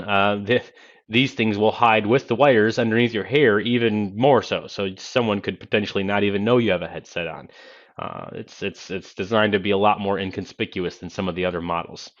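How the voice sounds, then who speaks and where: A man's voice: on the low side, a bit bright. A man, giving a casual product demo and explaining things step by step. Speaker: presenter of product review videos. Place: small indoor office. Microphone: Bluetooth headset microphone. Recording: dull lo-fi headset sound.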